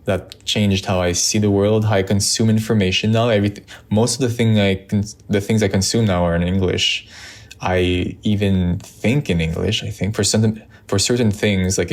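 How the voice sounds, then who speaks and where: Low-voiced man with a Quebec French accent. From Montreal, Canada. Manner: loose and musing, many ums and restarts. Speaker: vlogger. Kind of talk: reflective casual talk to camera.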